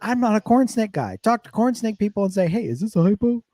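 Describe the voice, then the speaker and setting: A man's voice: high-pitched, lively intonation, mellow, no room sound. A man co-hosting a casual podcast, teasing and arguing with his friends and often laughing. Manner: teasing, argumentative, quick to laugh. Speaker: podcast co-host. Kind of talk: casual banter among reptile keepers.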